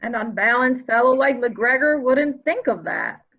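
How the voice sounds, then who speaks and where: A folksy, opinionated junior police officer played by a woman grumbles through a murder mystery reading, deferential to the boss but dour. The voice is fairly high, on a slightly muffled lo-fi recording. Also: animated, measured pace, vocal fry, heavily acted. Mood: suspicious. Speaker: actor in a library's dramatic reading. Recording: slightly dull, lo-fi audio.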